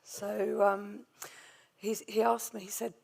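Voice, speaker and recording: A middle-aged woman with a hoarse, gravelly voice from a vocal cord nodule, giving a conference talk on hospital infection control with many hesitant ums and a friendly, self-deprecating manner. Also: mid-range voice, little pitch movement, slow, a little mellow. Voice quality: gravelly, strained voice. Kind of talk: conference presentation.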